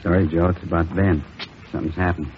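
An actor playing a somber, sympathetic middle-aged police captain with terse, halting lines in a 1950s radio drama. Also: male voice, fairly even intonation, close to an everyday voice. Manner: terse, halting, low-key. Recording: muffled, noisy old radio recording.

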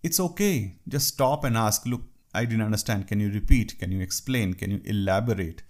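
A man with an Indian English accent gives a talk on working with foreign clients. His voice sits fairly low, and he speaks in a loose, conversational way, dropping in wry asides. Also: moderate pace. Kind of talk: talk on workplace culture.

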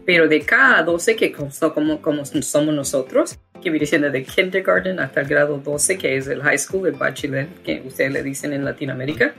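A woman's voice: sits low, moderate pace, gravelly, hardly any pauses, a bit bright, soft background music. A woman from the United States with an American accent, a guest in an interview who talks with many fillers and restarts. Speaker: guest, education founder. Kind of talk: interview conversation.

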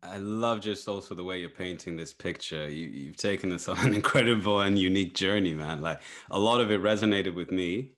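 A man's voice: low voice, brisk, hardly any pauses, a bit bright. A man from Zambia hosting a casual conversation, thoughtful and encouraging, asking long winding questions. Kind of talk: casual interview chat.

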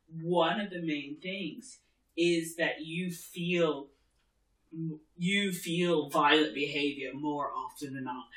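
A man's voice: a little high, a bit bright. A man chatting casually as a podcast co-host, emphatic and opinionated, repeating phrases as he builds his point, in a roomy space. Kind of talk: casual TV discussion chat. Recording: roomy, echoey room sound.

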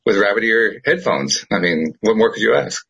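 A man's voice: lowish voice, normal speed, creaky, breathy edge, dull audio. A man hosting a casual chat, with wry, teasing remarks. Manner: wry, teasing, lively intonation. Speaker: host.

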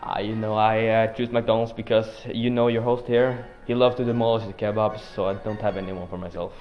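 A man's voice: on the low side, level tone, a little fast, lo-fi sound. Laid-back teenage boy rambling jokes. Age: teens. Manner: laid-back, rambling joke answers with fillers. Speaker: guest. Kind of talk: joking student podcast interview.

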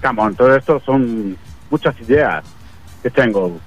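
A man's voice: medium pitch, expressive, a little slow, faint music behind, poor audio. A man voicing a boastful male glam rock star with a bad fake American accent and English catchphrases. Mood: hyped. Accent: Tarragona Spanish. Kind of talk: comedy radio sketch.

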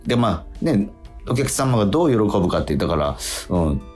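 A man hosting a casual radio talk, posing quiz questions, haltingly repeating himself and slowing down to stress key phrases. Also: fairly low pitch, sing-song, darkish tone, soft background music.

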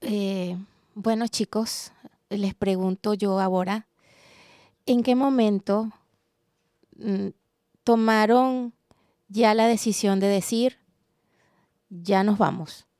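A woman from Venezuela, hosting a roundtable in a measured, reflective way at a studio microphone. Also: medium pitch, warm tone, no room sound. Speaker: host.

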